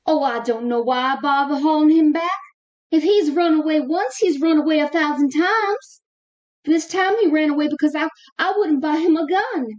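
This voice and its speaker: A woman's voice: high-pitched, moderate pace, highs cut off, lightly acted. A woman acting an exasperated, fretful frontier mother with folksy rustic speech in a Western radio drama. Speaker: actor in an amateur radio drama.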